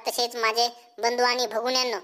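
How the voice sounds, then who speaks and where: A man's voice: very high-pitched, monotone, normal speed, heavy background noise. A man voicing a bold, cheeky cartoon schoolboy with a rural Marathi accent and a blunt, folksy way of talking. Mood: mock-formal, cheeky. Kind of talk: comic cartoon dialogue and mock school speech.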